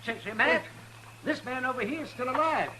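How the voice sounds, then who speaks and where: An actor playing a brisk, fussy American town doctor in an old-time radio western. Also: male voice, extremely high pitch, pushed and bright, heavily acted. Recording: noisy, humming vintage radio recording.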